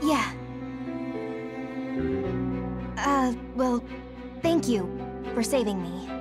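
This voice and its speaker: A woman's voice: fairly high pitch, animated, takes it slowly. An actress playing a frank, caring and apologetic female soldier in a video game. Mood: apologetic, concerned. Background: music.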